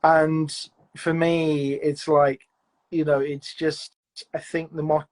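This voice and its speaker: A man's voice: on the high side, level tone, slow pace, darkish tone. A man being interviewed about producing audio fiction, talking in a reflective, rambling way with lots of "you know"s and restarts. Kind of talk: casual interview chat.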